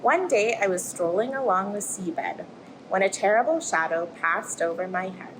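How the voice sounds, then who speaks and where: A friendly, plucky little octopus played by a woman tells a rhyming storybook tale. The delivery is expressive and swings widely in pitch, over clear background noise. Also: normal speed, close to an everyday voice. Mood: storytelling, suspenseful. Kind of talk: rhyming picture-book read-aloud. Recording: clear background noise, aquarium room ambience.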